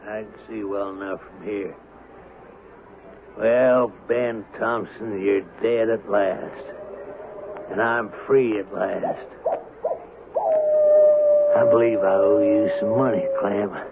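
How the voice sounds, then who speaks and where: An actor playing a crooked, wary, miserly western gambler in a 1970s radio drama, with a folksy, gruff American drawl. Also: male voice, on the low side, fairly even intonation, takes it slowly, pauses often. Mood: smug. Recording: noisy, muffled vintage radio recording.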